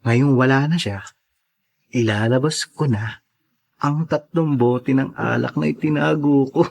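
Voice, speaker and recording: A man's voice: mid-range voice, a little slow, gravelly, darkish tone. A man playing a careless, hard-drinking young father in a theatrical Tagalog radio drama. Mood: smug, gleeful. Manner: exaggerated, theatrical delivery.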